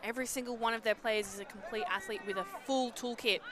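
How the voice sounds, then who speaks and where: A woman's voice: on the high side, fairly even intonation, quick pace. A woman giving live colour commentary on a sports match as co-commentator, explaining the play in an emphatic way. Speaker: co-commentator, analyst. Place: outdoors beside a sports field. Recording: outdoor crowd and traffic noise. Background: crowd and outdoor ambience.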